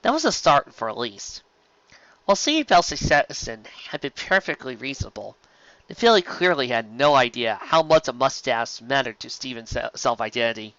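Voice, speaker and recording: A woman reading scripted fan fiction aloud as an expressive narrator. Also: very deep voice, normal speed, no echo. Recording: slightly dull, lo-fi recording.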